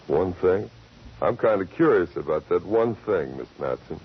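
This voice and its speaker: A very deep-voiced, gravelly cowboy killer in a 1940s radio play. He is cool, laconic and sardonic, a cynical charmer. The old broadcast sounds muffled. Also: lively intonation, moderate pace, exaggerated voice. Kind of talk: 1940s radio drama acting.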